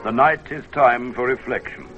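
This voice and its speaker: An actor narrating an old-time radio drama as a reflective storyteller, in a measured, dramatic way. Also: male voice, mid-range voice, a bit bright, lightly acted. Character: reflective male storyteller of a pioneer tale. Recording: dull vintage radio recording. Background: music.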